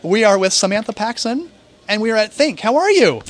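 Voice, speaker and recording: A man's voice: high-pitched, very animated. Chatty, jokey male interviewer. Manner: chatty, jokey, quick asides. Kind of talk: casual interview. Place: at a conference in New York City.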